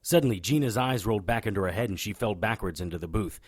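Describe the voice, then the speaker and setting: An actor playing a hard-boiled occult private investigator in a noir audio drama, with a deadpan, wry manner. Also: male voice, on the low side, fast talker, slightly rough. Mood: deadpan, brooding. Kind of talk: noir radio drama acting with first-person narration.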